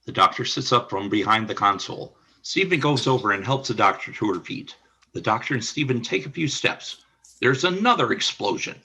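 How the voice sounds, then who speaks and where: Brief: male narrator for a radio play, measured delivery, bright but husky tone. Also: medium pitch, animated, low-quality recording. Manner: measured, announcer-like.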